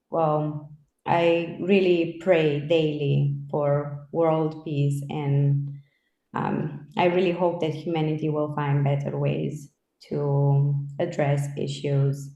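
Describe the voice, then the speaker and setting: A woman's voice: low voice, flat delivery, takes it slowly, dark tone, highs cut off. A woman in her thirties from Romania, interviewing a guest in an earnest, reflective way. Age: 30s. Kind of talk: conversational interview. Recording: roomy echo.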